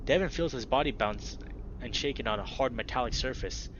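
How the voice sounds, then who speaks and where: Very animated young man reading a game aloud. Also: medium pitch, fairly quick, background noise. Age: young adult. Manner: excitable, emphatic reactions. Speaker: gaming video host reading a visual novel aloud. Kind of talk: expressive narration with excited commentary. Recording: slightly dull audio, music behind.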